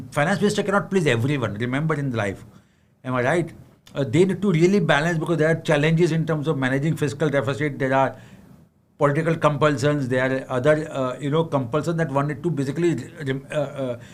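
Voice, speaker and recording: A middle-aged Indian man with a fairly high voice explains market views to viewers in a frank, unpolished way. He stumbles over fillers and restarts, keeps asking "am I right", and now and then slips into Hindi. Faint background noise sits under his voice. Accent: Indian English. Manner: leans on tag questions and switches into Hindi. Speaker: market analyst answering viewer questions. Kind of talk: livestream Q&A on the budget.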